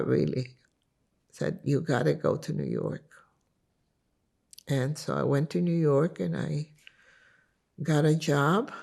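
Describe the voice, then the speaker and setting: Gravelly, husky older woman, slow and reflective. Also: low voice, a little mellow. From Lithuania. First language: Yiddish. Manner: reflective, unhurried storytelling. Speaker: interviewee giving testimony. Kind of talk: recollecting life story in interview.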